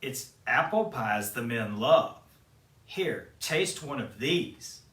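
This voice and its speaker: Man putting on a cackling old witch queen, sly wheedling tone, slow and drawn out, roomy sound from a distance. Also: medium pitch, animated, fairly bright tone. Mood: coaxing.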